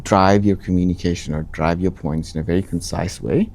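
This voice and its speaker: Deep-voiced man answering interview questions. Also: a little fast. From Nepal. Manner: relaxed, talks in short restarting phrases with many ums. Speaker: interviewee, account manager.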